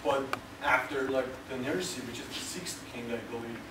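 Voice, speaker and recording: A man's voice: mid-range voice, moderate pace. A man in the audience asking a question, tentative and hedging with "I think". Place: large echoey lecture hall. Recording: very echoey hall, far-off, loud noise.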